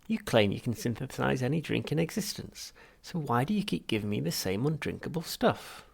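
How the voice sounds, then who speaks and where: A put-upon, polite everyman acted by a man reading aloud, tart and indignant. The voice is gravelly and husky, pleading its case in audiobook storytelling style. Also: medium pitch, big pitch swings, a little fast, full character voice. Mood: tart, irritated. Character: exasperated, tart, polite everyman craving tea. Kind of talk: audiobook character voice.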